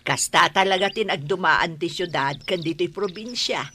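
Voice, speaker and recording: A woman's voice: on the low side, moderate pace, noisy background, lightly acted. A woman playing a chatty, familiar provincial aunt in an audio drama. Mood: welcoming, teasing. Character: chatty, welcoming provincial aunt who keeps a store. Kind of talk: Philippine radio-style audio drama acting.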